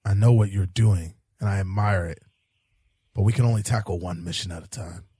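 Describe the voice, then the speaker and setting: A very raspy, dry-recorded detective acted by a man in an audio drama. He is cynical and pragmatic, a hard-boiled cop who defends his own methods in a lowish voice. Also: steady tone, fairly quick, dark tone, dry recording. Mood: pragmatic, weary.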